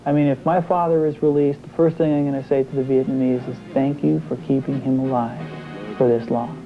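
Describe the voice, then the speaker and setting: A man's voice: medium pitch, flat delivery, brisk. A man speaks earnestly and plainly as an interviewee in a news report. The sound is tinny and phone-like, with faint music underneath.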